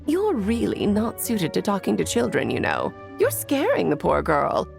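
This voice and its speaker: A woman's voice: mid-range voice, huge pitch swings, moderate pace, creaky, soft background music, heavily acted. A woman voicing a breezy, playful witch auntie in a video game, coaxing sweetly and theatrically as if to a child.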